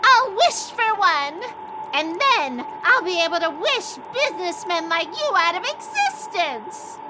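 A woman's voice: very high-pitched, sing-song, unhurried, very noisy, heavily acted. A woman playing a sly, menacing old witch in a comedy horror radio play, theatrical and drawn out. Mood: gloating, scornful.

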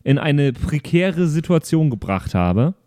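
A man's voice: a little high, big pitch swings, measured pace, dark tone. A man hosting an audio play podcast, chatting casually in a hesitant, rambling way. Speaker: host of a pen-and-paper audio play podcast. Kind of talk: casual improvised chat.

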